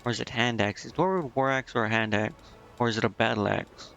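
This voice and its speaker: A man's voice: medium pitch, fairly quick, creaky, fairly bright tone, lo-fi sound, faint music behind. A man giving casual live gameplay commentary, thinking aloud to himself in a hesitant, muttering way. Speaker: game streamer.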